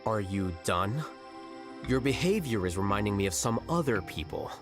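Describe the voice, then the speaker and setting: A man voicing an aloof male scholar in a game, dry, deadpan and curt. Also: fairly low pitch, moderate pace, music in the background, close to an everyday voice.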